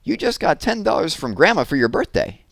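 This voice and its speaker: A man's voice: fairly high pitch, big pitch swings, brisk, creaky, a bit bright, dry recording, voice pushed up, exaggerated voice. A man voicing a persistent, needling young girl, a little sister in a children's story. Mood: probing. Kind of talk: children's audiobook character dialogue.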